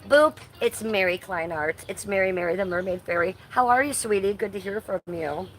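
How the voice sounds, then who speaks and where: A woman's voice: medium pitch, moderate pace, a bit bright, soft background music. A woman in her fifties hosting a casual live stream from her front yard, playfully greeting viewers by name. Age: 50s. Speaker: livestream host. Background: faint ambient noise of roofers working nearby.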